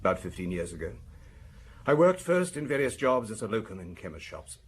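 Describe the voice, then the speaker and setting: A man's voice: lowish voice, big pitch swings, fairly quick, slightly rough, heavily acted. A man in a radio drama playing a defensive, bitter pharmacist of forty, precise and prickly in his speech. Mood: subdued, factual. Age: 40s. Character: defensive, bitter forty-year-old male provincial pharmacist suspect.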